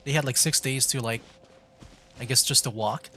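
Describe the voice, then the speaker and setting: A man streaming a video game, commenting casually and thinking out loud as he plays. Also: mid-range voice, fairly even intonation, moderate pace. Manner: chatty, thinking aloud. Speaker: gaming streamer.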